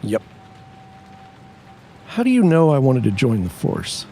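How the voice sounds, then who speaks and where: A steady young workingman, played by an actor, with a medium-pitched, expressive voice. His lines are clipped and matter-of-fact, in a period audio drama with faint street ambience. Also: measured pace, slight background noise. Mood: alert, guarded. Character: terse, steady young workingman turned amateur sleuth.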